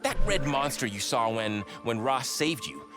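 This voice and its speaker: An eager, swoopy-voiced young sidekick. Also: female voice, extremely low pitch, a little slow, over music, heavily acted. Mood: chatty. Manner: chatty, earnest, very animated. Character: eager, geeky young sidekick, earnest and friendly. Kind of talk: animated video game cutscene acting.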